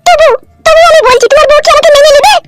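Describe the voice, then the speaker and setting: A woman's voice: extremely high pitch, fairly even intonation, very fast, loud music behind the voice. A woman voicing a sulky, anxious young bride in a comedy cartoon, pouting, complaining and foul-mouthed. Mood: anxious, doubting.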